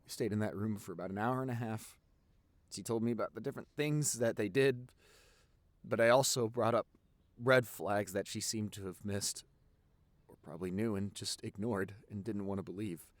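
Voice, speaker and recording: A man playing a sincere, protective young boyfriend in an intimate audio roleplay, rambling earnestly with halting repeats and pauses. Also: on the low side, no echo, close to an everyday voice. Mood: earnest, reassuring.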